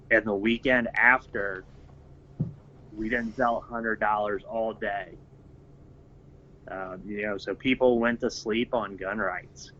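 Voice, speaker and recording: Man, lowish voice, matter-of-fact group organizer; muffled lo-fi sound, faint hiss. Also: bright, forceful tone. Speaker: gun-rights group organizer. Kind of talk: casual podcast chat.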